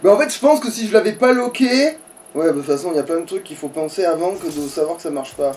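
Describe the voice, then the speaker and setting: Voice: mid-range voice, animated, quick pace, a little mellow. A player commenting casually on their own game, grumbling and swearing as they go. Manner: foul-mouthed, grumbling. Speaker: let's play player and commentator. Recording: distant mic, music behind.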